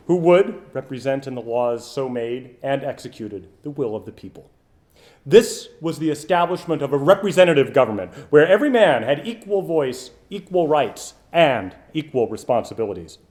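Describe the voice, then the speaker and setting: A man's voice: on the high side, a little mellow. A man re-creating a formal 1890s political speech as an orator, emphatic and declamatory, posing rhetorical questions.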